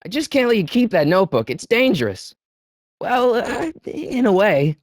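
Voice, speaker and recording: A man's voice: big pitch swings, normal speed, no echo, studio quality. A young man with an American accent voicing an earnest, nervous teenage boy in a video game, youthful in tone and hesitant, slangy in delivery. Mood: wary, insistent. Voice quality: youthful, high-pitched for a man. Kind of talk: video game character dialogue.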